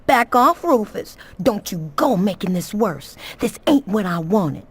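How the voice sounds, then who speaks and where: A woman's voice: mid-range voice, lively intonation, a little slow, slightly rough. A woman playing a tough, world-weary and defiant gangster's woman in a video game, hard-boiled and curt. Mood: threatening. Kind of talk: video game cutscene acting.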